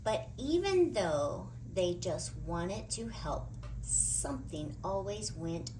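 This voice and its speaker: Female storybook reader, expressive and dramatic, wide pitch movement, measured pace. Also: noisy background. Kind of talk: animated read-aloud story narration.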